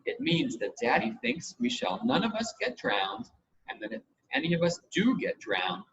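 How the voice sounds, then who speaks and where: A man's voice: fairly high pitch, wildly swooping pitch, moderate pace, creaky, fairly bright tone, lo-fi sound, a little hiss or hum, pitched above the performer's own voice, full character voice. A man reading the part of an earnest, confident young girl in a children's storybook. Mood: earnest, explaining.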